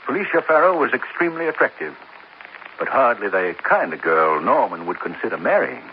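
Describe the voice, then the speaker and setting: An actor playing a stuffy, haughty businessman in a 1950s radio drama, pompous, clipped and quick to take offense. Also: mid-range voice, big pitch swings. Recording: dull old radio recording with faint hiss.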